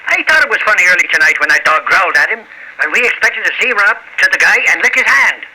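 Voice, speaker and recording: A man playing an excitable, blustering Irish tough-guy sidekick, loyal and simple, in 1940s radio style with an Irish accent. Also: high voice, fast, full character voice. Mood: indignant. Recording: tinny, hissy old radio broadcast.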